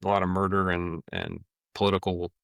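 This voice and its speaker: A man hosting a casual podcast, talking in a relaxed, rambling way and swearing now and then. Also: low-pitched, brisk, gravelly, no echo. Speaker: podcast host. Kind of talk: casual chat.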